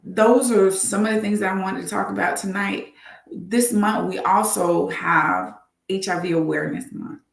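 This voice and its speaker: A woman's voice: medium pitch, expressive, normal speed, creaky, roomy sound. A woman doctor hosting a live health talk, earnest and repeating her advice for emphasis.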